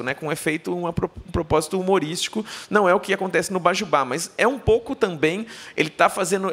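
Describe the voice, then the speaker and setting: A woman author talking on a book fair stage before an audience, playful and digressive, often turning to ask the crowd questions. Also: deep voice. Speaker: author being interviewed. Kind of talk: live conversation on stage.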